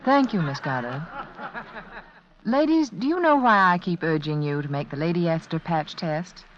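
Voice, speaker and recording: A woman reading a 1940s radio beauty advertisement in a measured, persuasive way. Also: fairly low pitch, animated. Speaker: beauty product spokeswoman. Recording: dull vintage 1940s radio broadcast.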